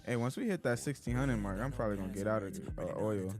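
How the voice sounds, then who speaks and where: Laid-back, slangy low-voiced host. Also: male voice, fast talker, over music. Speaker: trading stream host. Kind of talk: live stream chat while trading.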